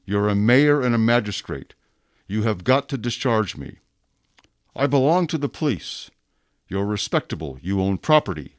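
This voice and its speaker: A man's voice: fairly low pitch, big pitch swings, moderate pace, no echo, clean studio sound. A man playing a rigid, bitter police inspector in a period audio drama, speaking in a clipped, emphatic, formal way. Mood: agitated, insistent. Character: rigid, self-punishing male police inspector, stern and bitter. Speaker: actor. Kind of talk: radio-style period drama acting.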